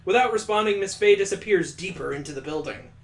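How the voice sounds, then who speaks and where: High-voiced man reading a visual novel. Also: fast talker. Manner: sarcastic, incredulous commentary. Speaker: video game let's player. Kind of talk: reading a visual novel aloud with joking asides.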